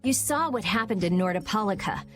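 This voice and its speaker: A woman's voice: mid-range voice, lively intonation, brisk, fairly bright tone, faint music behind, lightly acted. A woman voicing a prickly teenage girl mage in video game dialogue, curt and blunt with a caring side. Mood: curt, serious.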